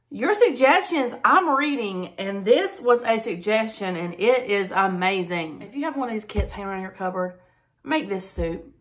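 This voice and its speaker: A woman's voice: mid-range voice, a bit bright. A woman, chatting casually as she cooks in her home kitchen. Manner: chatty, enthusiastic, says y'all often. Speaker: cooking vlogger. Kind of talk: casual cook-along chat.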